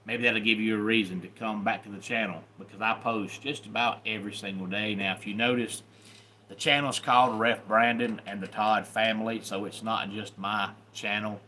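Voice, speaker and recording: A man's voice: on the low side, fairly even intonation, normal speed, sharp tone, slight background noise. A man with a Southern accent, hosting a live stream in a folksy, welcoming manner. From Southern United States. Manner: folksy and welcoming, greets viewers by name. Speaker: YouTube channel host. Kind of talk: casual live-stream chat.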